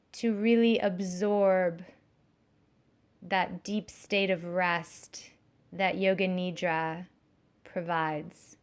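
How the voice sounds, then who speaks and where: A woman giving guided yoga nidra instruction in a soothing, measured, gently instructive way. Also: fairly high pitch.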